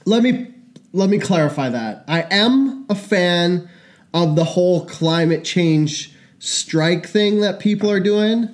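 A young man co-hosting a podcast in casual chat, animated and quick to laugh. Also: high voice. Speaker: podcast co-host.

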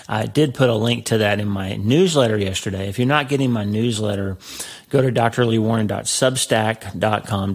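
A man hosting his own podcast in a chatty, casual way, his voice gravelly from sinus trouble. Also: on the low side. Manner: chatty, warm greeting, frequent restarts. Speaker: podcast host. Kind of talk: casual solo podcast talk.

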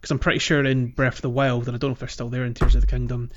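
A man's voice: medium pitch, level tone, very fast, no echo, muffled recording. A man hosting a casual gaming podcast, speaking conversationally and putting questions to his co-hosts. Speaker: podcast host.

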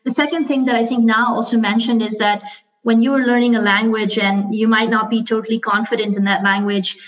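A woman, talking thoughtfully on a panel with many pauses and fillers. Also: a little high, level tone, dull audio. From Pakistan. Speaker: alumna panelist. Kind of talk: casual panel talk.

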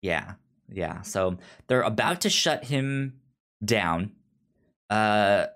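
A man co-hosts a casual podcast chat, retelling a story at a slow pace. His voice sits a little low, and his delivery is halting, with word starts often repeated. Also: expressive, a bit bright. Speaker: podcast co-host.

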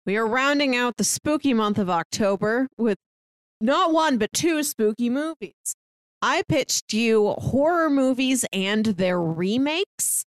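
A woman's voice: on the high side, lively intonation, measured pace, no room sound, low-quality recording. A woman co-hosting a movie podcast, chatting in a friendly way and saying "like" a lot. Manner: friendly, chatty, uses "like" often. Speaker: podcast co-host. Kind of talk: casual chat.

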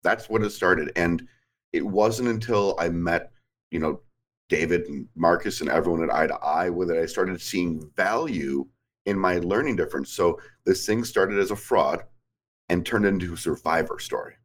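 A man's voice: sits low, moderate pace. A man in his forties in casual conversation, reflective and rambling, with frequent "like"s. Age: 40s. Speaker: podcast guest. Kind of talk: casual chat.

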